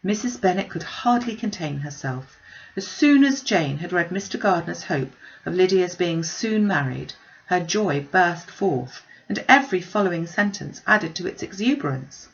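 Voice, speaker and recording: A woman reading a classic novel aloud as an expressive storyteller. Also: on the low side, normal speed, vocal fry, lo-fi sound. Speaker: audiobook reader.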